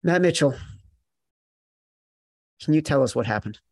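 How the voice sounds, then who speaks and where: A man's voice: mid-range voice, expressive, measured pace, darkish tone, dry recording, clean studio sound. A man hosting a sports betting podcast, talking in an emphatic, teasing, mock-exasperated way. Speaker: podcast host.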